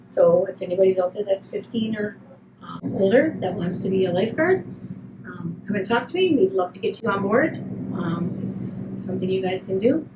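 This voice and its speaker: A woman's voice: medium pitch, expressive, moderate pace, noisy background. A woman from the community pool recruiting lifeguards, talking in a friendly, casual way with lots of filler words. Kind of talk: casual interview answers. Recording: echoey with background ambience.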